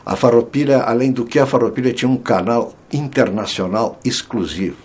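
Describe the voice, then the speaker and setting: A man's voice: mid-range voice, brisk, darkish tone, breathy edge. An older man recalling the past as an interviewee, his phrases trailing off toward the end. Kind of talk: interview recollection.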